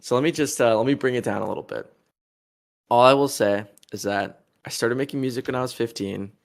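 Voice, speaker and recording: A man's voice: medium pitch, fairly quick, creaky. A man in his twenties, a musician answering an interview in a rambling, heated way, full of fillers and crude insults. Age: 20s.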